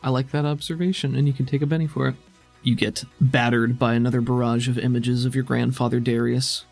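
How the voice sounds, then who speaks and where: A man's voice: medium pitch, little pitch movement, a little fast, vocal fry. A man working as game master, describing scenes in a conversational, improvised way. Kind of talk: improvised tabletop game narration. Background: music underneath.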